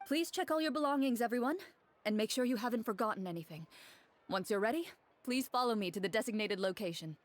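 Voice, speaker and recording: An actress playing a composed young woman in a video game, speaking calmly and formally. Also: fairly high pitch, natural voice. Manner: calm, measured, formal.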